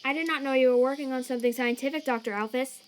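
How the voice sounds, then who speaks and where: A woman's voice: high-pitched, steady tone, racing, lightly acted. A woman voicing a naive, earnest male skeleton monster in a fan comic dub, formal and emphatic in a theatrical way. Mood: earnest, puzzled. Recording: chirping crickets and night ambience behind.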